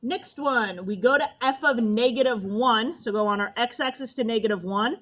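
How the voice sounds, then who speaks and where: Loud-voiced woman teaching a math lesson. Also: fairly high pitch, moderate pace. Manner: step-by-step explaining to students.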